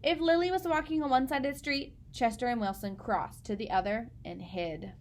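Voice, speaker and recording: A woman reading a children's picture book aloud in an expressive storytelling style, speaking as if to young listeners. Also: on the high side, normal speed.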